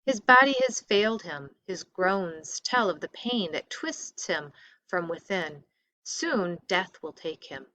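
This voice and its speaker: A woman reading the part of a respectful chariot driver in a storybook, gravely and emphatically explaining hard truths. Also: lowish voice, very animated, slow pace, sharp tone, slight background noise, heavily acted, low-quality recording. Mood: somber.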